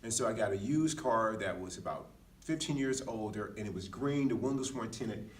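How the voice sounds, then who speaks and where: A man's voice: lowish voice, expressive, a little fast, recorded from a distance. A middle-aged American man telling personal stories casually in a candid, self-deprecating way, often saying "man" and "dude.". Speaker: podcast speaker, former Marine.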